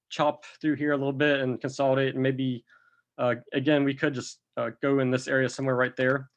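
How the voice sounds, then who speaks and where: A man talks through trading charts at an unhurried pace, explaining each step as he goes. He is a little under the weather and has a slight cough. His pitch sits in an ordinary middle range for a man. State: a bit sick with a cough. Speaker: crypto chart analyst. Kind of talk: screen-recorded chart walkthrough.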